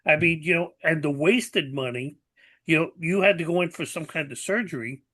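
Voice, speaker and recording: A man's voice: a little high, moderate pace, bright, forceful tone. A man in his seventies hosting a podcast interview, talking in an earnest, plainspoken way and leaning on fillers like "you know". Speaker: podcast host. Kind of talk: conversational interview.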